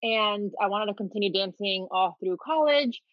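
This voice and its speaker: A woman's voice: mid-range voice, normal speed, no room sound, dull audio. A woman from the Dominican Republic, raised in Miami, telling her story as a podcast guest in a candid, easygoing way. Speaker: podcast guest, clothing company owner. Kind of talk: casual interview storytelling.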